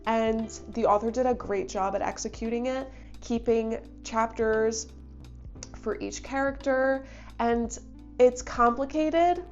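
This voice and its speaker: A woman's voice: a little high, slow pace, dull audio, faint music behind. A young woman with a stuffy voice from a cold, chatting enthusiastically as host of a book review channel. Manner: chatty, enthusiastic, thinks aloud. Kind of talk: casual book review talk.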